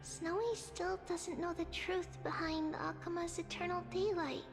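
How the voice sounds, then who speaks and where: A woman playing a gentle, serene little girl seer in a video game, speaking in a composed, caring way. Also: extremely high pitch, fairly even intonation, measured pace, over music. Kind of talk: scripted video game acting.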